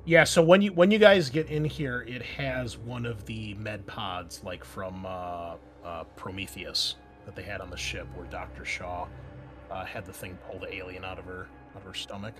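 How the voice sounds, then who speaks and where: A man's voice: sits low, steady tone, moderate pace. A man narrating a tabletop roleplaying game as game master in an informal, conversational way. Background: background music.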